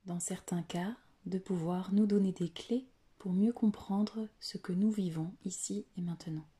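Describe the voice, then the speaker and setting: A woman guides a meditation in a very husky, breathy voice that often sinks toward a whisper. She speaks very slowly and deliberately, leaving long pauses between short phrases. Her tone is mellow and soothing, pitched a little low. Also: steady tone. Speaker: yoga nidra guide.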